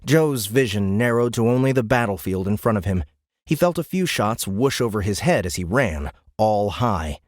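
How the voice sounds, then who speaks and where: Low-voiced man narrating a tense audiobook. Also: expressive, normal speed, no echo, clean studio sound. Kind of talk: dramatic scripted narration of military science fiction. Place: dry recording studio.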